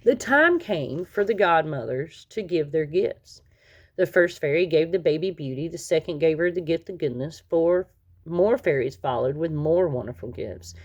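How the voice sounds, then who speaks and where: A woman narrates a children's storybook in a slightly low voice. She reads aloud expressively, putting weight on important words as a storyteller does. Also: lively intonation, moderate pace, a little mellow, dull audio. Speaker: storybook reader.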